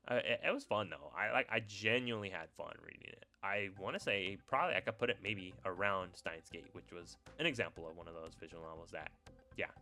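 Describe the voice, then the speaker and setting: Man, lower pitch, crisp bright tone, casual reviewer, unscripted, rambling, hesitant, faint background music. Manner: rambling, hesitant, thinking aloud. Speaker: YouTuber reviewing visual novels.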